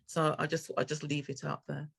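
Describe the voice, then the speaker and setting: A man's voice: a little high, monotone, moderate pace, vocal fry, a bit bright, breathy edge. A man speaking as a panelist in a video-call discussion, thoughtful and restarting his sentences as he goes.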